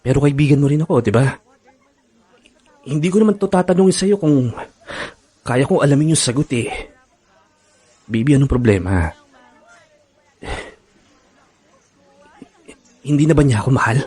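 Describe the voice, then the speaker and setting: A man's voice: medium pitch, expressive, brisk, pauses often, warm tone, slightly rough, no echo. A man with a Filipino accent playing an anxious, devoted boyfriend in a radio drama, speaking in a hesitant, earnest way. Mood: troubled, probing.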